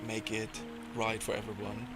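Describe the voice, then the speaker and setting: A man's voice: fairly low pitch, completely flat delivery, normal speed, loud music behind the voice. A man hosting a game livestream, reading viewer comments aloud in a hesitant way with many fillers; English is not his first language. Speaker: stream host reading chat. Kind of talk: casual livestream chat.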